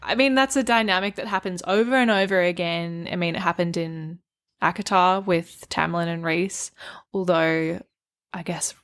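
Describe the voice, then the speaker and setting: A woman speaks in a slightly low register as a co-host on a book podcast. She shares her thoughts in a casual, conversational style. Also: moderate pace. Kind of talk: casual book discussion chat.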